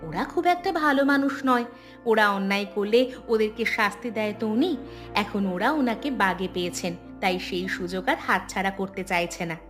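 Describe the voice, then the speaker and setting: A woman's voice: mid-range voice, brisk, fairly bright tone, natural voice. A woman voicing an earnest, questioning village woman in a cartoon, with music playing behind. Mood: concerned, matter-of-fact. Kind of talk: Bengali cartoon voice acting.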